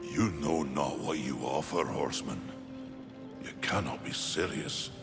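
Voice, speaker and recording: Huge gruff mentor, very deep n slow, fantasy game, music behind. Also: male voice. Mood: solemn. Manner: archaic, weighty, stern phrasing. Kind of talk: dramatic video game acting. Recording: long reverb under clear music.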